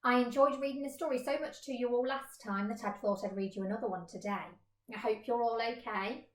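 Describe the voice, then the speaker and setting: A woman's voice: a little high, fairly even intonation, fairly quick. A woman teacher reading a children's story expressively in a roomy space.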